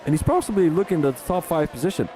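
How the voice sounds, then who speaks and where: A man from Belgium, doing live race commentary for a sim racing league, rambling in chatty asides and rising to excited calls on overtakes. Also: a little high, very fast, slight background noise, rough recording.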